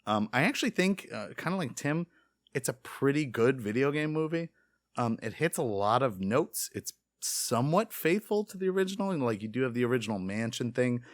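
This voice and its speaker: A man's voice: mid-range voice, sing-song, brisk, dry recording, studio quality. A man hosting a casual podcast discussion, talking in a rambling, opinionated way. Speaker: podcast host leading the discussion. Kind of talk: casual chat.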